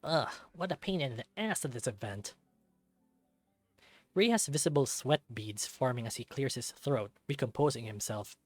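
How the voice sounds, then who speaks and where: A man's voice: mid-range voice, expressive, moderate pace, dry recording, soft background music. A man reading a first-person story aloud in a dramatic narrating style, as for a visual novel.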